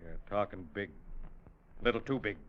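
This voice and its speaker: An actor playing a cruel, swaggering middle-aged outlaw in a radio western, with curt, sneering delivery. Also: male voice, fairly low pitch, moderate pace, close to an everyday voice. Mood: sneering challenge. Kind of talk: 1950s radio western villain acting. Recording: muffled old radio, faint background noise.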